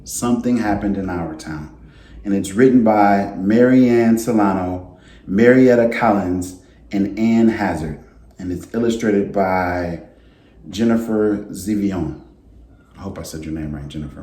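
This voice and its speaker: A man reading a children's picture book aloud as a school principal, in a deliberate, expressive way. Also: low-pitched. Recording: roomy, echoey indoor sound.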